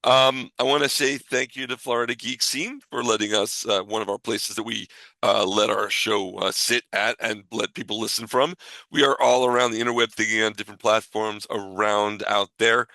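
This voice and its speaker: Bright-voiced man hosting a podcast. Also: on the low side, steady tone, normal speed, dry recording. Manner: reassuring, repeats himself. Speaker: podcast host. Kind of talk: casual podcast chat and sign-off.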